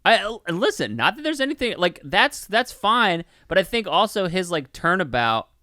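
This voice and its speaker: A man with a slightly high, clear voice chats as a podcast co-host in a dry, close recording. He rambles through a casual film review, swears freely and slips into mock dialogue to make his points. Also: sing-song, moderate pace, sharp tone, dry recording.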